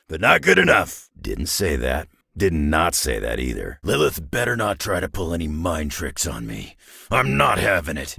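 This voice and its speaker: An actor playing a gruff, cocky, battle-hardened brawler in a video game, with a raspy growl and a dry, sardonic delivery. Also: male voice, a bass voice, fairly bright tone, dry recording, exaggerated voice. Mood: combative, taunting, then gruff, matter-of-fact.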